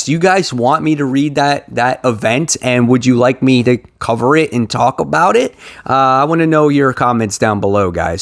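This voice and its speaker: Enthusiastic man chatting casually to viewers. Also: mid-range voice. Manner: enthusiastic, informal fan talk. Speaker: comic book review video host. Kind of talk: casual show-and-tell commentary to viewers.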